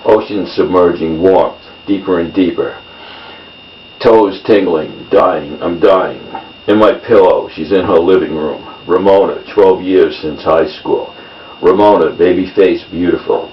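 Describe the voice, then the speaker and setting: A man reading his own poems in a measured delivery, in short phrases. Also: low voice, steady tone. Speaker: poet. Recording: roomy, distant mic, dull sound, faint noise.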